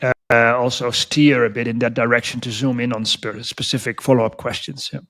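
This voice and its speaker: A man's voice: mid-range voice, little pitch movement, moderate pace, fairly bright tone. Male podcast host with a mid-range voice, loose casual questions, sums up and asks for confirmation. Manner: thinks aloud, checks with "is that fair?".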